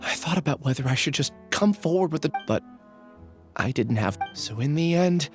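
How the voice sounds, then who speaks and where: An actress playing a gentle, wistful test subject in an anime game, reflective and resigned. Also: female voice, sits low, animated, moderate pace, soft background music. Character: soft-spoken, wistful, gentle test subject finding courage.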